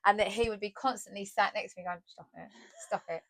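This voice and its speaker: A woman's voice: mid-range voice, steady tone, no echo. Chatty, playful woman in a dry, close sound. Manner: chatty and playful, quick to laugh. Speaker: podcast co-host. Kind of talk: casual chat.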